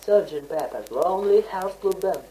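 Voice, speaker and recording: A woman co-presenting a comedy skit in the style of a parody radio show, cutting in with quick deadpan remarks. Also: fairly low pitch, poor audio.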